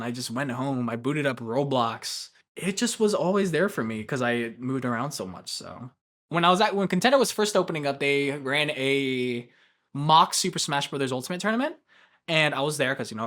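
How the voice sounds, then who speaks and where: A man's voice: medium pitch, a bit bright. A young man from Japan speaking in a documentary interview as a tournament organizer, loose, rambling and jokey.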